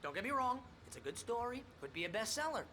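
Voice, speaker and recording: Nervy wisecracking game sidekick guy, exasperated, pitch all over the place, faint noise behind. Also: very animated, quick pace, sharp tone, full character voice. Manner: wisecracking, pleading, dramatic. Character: fast-talking, nervy, exasperated man, loyal best friend. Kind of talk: video game voice acting.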